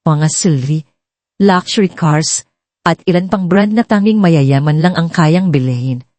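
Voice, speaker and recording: A woman's voice: low voice, a little slow, darkish tone, no echo. A woman reading a scripted dramatic story as a storyteller.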